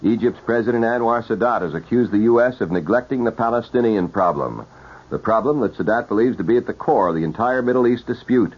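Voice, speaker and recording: A dull, band-limited old radio broadcast carries a male news anchor from the 1970s. His husky, mid-pitched voice reads scripted bulletins in a formal, measured announcer style.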